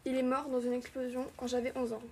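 A woman's voice: sits high, monotone, quick pace, dark tone, a little hiss or hum. A woman playing a young archer heroine, answering earnestly and plainly.

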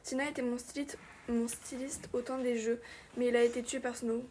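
Woman voicing an archer rebel heroine, earnest, even pitch. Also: normal speed, a little hiss or hum, natural voice.

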